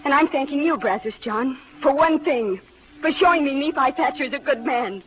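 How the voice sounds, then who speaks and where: A woman acting an earnest young frontier wife in a 1940s radio western, speaking in a halting, emotional, pleading way. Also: sits high, lively intonation. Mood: tearful gratitude. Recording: old noisy radio recording, phone-like band.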